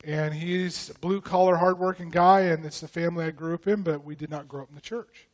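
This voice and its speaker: A man from Idaho leading prayer and teaching at church, slightly raspy from a recent vocal cord infection, talking in a relaxed, loose way with many ums. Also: fairly high pitch, brisk. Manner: relaxed, conversational, frequent ums and throat clearing. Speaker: pastor.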